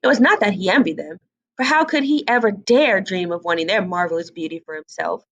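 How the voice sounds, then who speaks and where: A woman reading a fairy tale aloud as an expressive storybook narrator. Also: mid-range voice, brisk, lo-fi sound.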